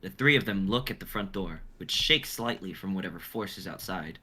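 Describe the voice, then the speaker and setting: A man's voice: low-pitched, lively intonation, brisk, bright, forceful tone, husky. A young man on a group livestream, moving between casual chat and dramatic reading of a script. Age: young adult.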